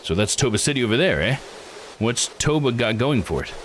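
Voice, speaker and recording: A man's voice: mid-range voice, lively intonation, brisk, a bit bright, slight background noise, close to an everyday voice. A man reading the part of an easygoing, wry male sightseer in a visual novel. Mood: casual, wry. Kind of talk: visual novel character read-aloud.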